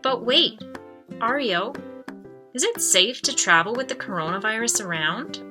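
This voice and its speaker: A woman's voice: on the high side, animated, a little slow, pushed and bright. A woman reading the part of an earnest, questioning young girl in a storybook reader's theatre. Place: room at home. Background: music.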